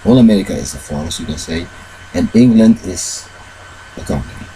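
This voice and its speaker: A man telling a long, rambling personal story in halting English, with many fillers and loose grammar. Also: low-pitched, expressive, mellow, slight background noise, low-quality recording. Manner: retells events with shifts into quoted dialogue.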